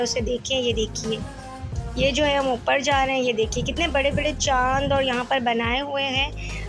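A woman in her thirties with a Karachi Urdu accent, narrating a family mall outing in a chatty voice-over full of fillers. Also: high voice. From Pakistan. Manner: chatty, leans on filler "jo hai" constantly. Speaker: vlogger. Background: music.

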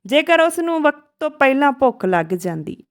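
A woman storyteller narrates a scripted tale. She reads it out in measured phrases, occasionally tripping over a word. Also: high-pitched, big pitch swings.

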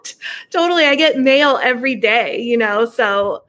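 A woman's voice: a little high, animated, moderate pace, a bit bright. A middle-aged woman talking casually as an interview guest over a video call from a room at home. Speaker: podcast guest, horror book subscription business owner.

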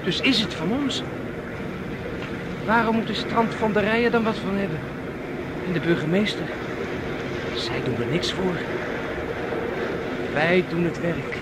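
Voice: mid-range voice, unhurried, pauses often, natural voice. An actor playing an eager, daydreaming young boy beachcomber in a 1960s radio drama. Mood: dreamy, greedy. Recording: old radio drama with loud wind noise.

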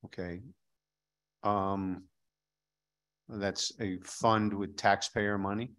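A man's voice: on the low side, little pitch movement, very slow, fairly bright tone. A middle-aged man questioning a witness at a legislative hearing, probing and skeptical, speaking into a desk microphone in a hearing room.

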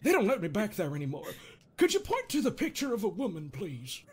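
Man doing a high, theatrical quack therapist. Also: huge pitch swings, husky, exaggerated voice. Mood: needling, wry. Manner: theatrical, halting, swearing, self-amused with chuckles. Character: quirky, jokey male therapist doctor, theatrical and needling. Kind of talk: improvised comedic game fandub.

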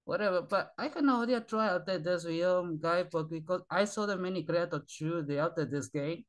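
A tired man, a native Spanish speaker, rambling in halting, repetitive broken English with sighs as he talks to his channel's viewers from a small bedroom on a webcam. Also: sits high. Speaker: channel host. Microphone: webcam at desk.

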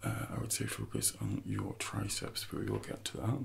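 Hushed, gravelly man doing ASMR coaching. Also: very deep voice, very animated, normal speed. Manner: hushed, often dropping into whispers, instructive. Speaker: ASMR performer playing a personal trainer. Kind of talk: soft-spoken ASMR roleplay.

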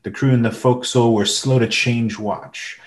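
A man's voice: mid-range voice, steady tone, normal speed, natural voice. A man playing a storyteller who recounts a seafaring boyhood in scripted radio play narration. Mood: recounting.

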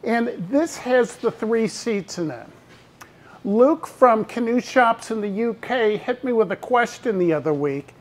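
A man's voice: very high-pitched, animated, a little slow. A middle-aged man presenting new products at a launch. He talks enthusiastically and speaks to viewers and staff by name. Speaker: company owner presenting boats.

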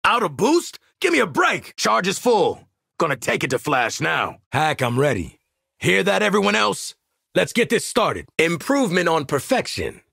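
A man voicing a cocky, wisecracking mercenary marksman in a video game, raspy and brash with sardonic slang. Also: high voice, wildly swooping pitch, moderate pace, bright, forceful tone, no room sound, studio quality, heavily acted. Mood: brash, confident. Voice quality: raspy, gravelly.

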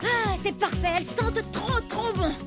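A woman's voice: extremely high pitch, normal speed. A woman voicing an eager, adventurous young boy in a French children's cartoon. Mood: delighted. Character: excitable, adventurous young boy, loyal to his dinosaur. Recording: loud music behind.

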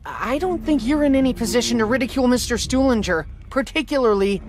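A man's voice: very high voice, expressive, fast. An actor playing a prim, bookish man who speaks precisely and formally, in a video game cutscene. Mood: offended.

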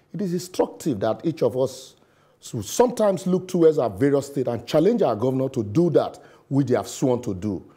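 A man's voice: medium pitch, mellow, husky. Sardonic Nigerian man delivering studio commentary. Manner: sarcastic, emphatic, drops into pidgin asides. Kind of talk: scripted opinion commentary. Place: television studio.

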